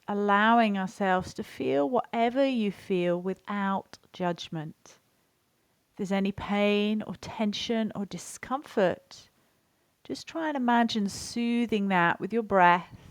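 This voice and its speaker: A woman with a mid-pitched voice leads a guided body scan as a yoga and meditation teacher. Her delivery is gentle and soothing, unhurried and spaced with pauses. The sound is dry, with very little room around it. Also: clear, resonant tone, dry recording.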